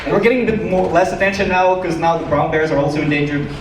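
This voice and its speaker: A man from Ukraine performing stand-up comedy to a live audience with a dry, joking delivery and hesitant pauses. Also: a little high. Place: small comedy club stage, live audience. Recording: roomy, noisy club recording from a distance.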